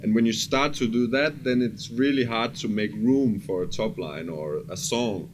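A man's voice: medium pitch, moderate pace. A man explaining things casually as a music producer being interviewed, in slightly non-native English phrasing. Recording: clearly noisy background ambience.

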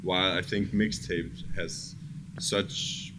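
A man's voice: deep voice, fairly even intonation, drawn-out words. A man with a Danish accent, a music producer casually recalling his work in an interview in non-native English. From Denmark. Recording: clearly noisy background ambience.

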